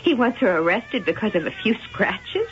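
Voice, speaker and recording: An actress playing a composed, protective sister with a polite, firm manner in a 1980 radio drama. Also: female voice, medium pitch, very animated, normal speed, lightly acted. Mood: polite composure. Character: composed, protective sister who keeps many cats. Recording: dull noisy old radio broadcast.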